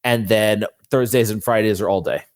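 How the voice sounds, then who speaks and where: A man's voice: lowish voice, normal speed, dry recording. Casual male interview guest, dry sound. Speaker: guest, fantasy author.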